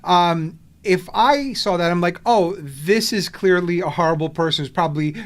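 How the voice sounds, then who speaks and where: A man's voice: high-pitched, normal speed. A man hosting a podcast, born in the Soviet Union and speaking English as a second language, talking in a rambling way with many restarts and fillers. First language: not English. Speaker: podcast host. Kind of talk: casual conversation.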